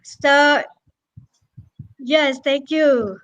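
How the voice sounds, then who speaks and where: A woman from Indonesia with an Indonesian accent, a guest teacher explaining informally and haltingly over a video call. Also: high-pitched, dry recording. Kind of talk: informal explanation on a live webinar. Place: indoor room, video call. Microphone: headset.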